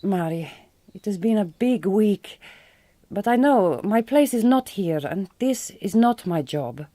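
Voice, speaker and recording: Actress playing a Hungarian woman, Hungarian accent, mid-pitched, resolute, tender, measured careful English, radio drama. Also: animated, mellow, no room sound. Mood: earnest, resolute. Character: resolute, tender Hungarian woman, bereaved migrant farm worker. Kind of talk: naturalistic radio drama acting.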